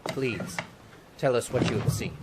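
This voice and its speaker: A man's voice: medium pitch, unhurried, very noisy, close to an everyday voice. A man playing a skeptical, officious town councilman in a fantasy audio drama, speaking formally. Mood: impatient, formal.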